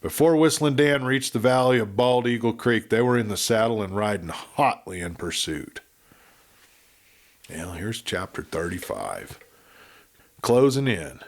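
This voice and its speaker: A man narrating a Western audiobook with expressive, dramatic storytelling. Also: medium pitch.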